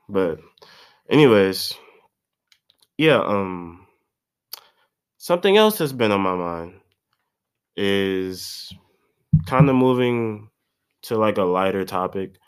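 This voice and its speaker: Young male podcaster, stuffed-up nose, loose slangy ramble, pitch bouncing all over. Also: a bit bright. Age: about 20. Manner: rambling, slangy, profane, restarts often. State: congested. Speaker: podcast host. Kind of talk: casual solo podcast monologue.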